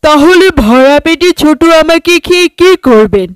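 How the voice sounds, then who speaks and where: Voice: sits high, expressive, normal speed, dry recording. An actor voicing a small, sly mouse in a children's cartoon, coaxing in a mock-innocent way. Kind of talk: children's fairy-tale cartoon voice acting.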